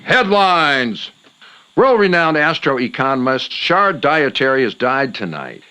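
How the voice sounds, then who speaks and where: An actor playing a showy, irritable late-night conspiracy radio host with emphatic patter. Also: male voice, mid-range voice, pushed and bright, lo-fi sound. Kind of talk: talk radio patter and headlines.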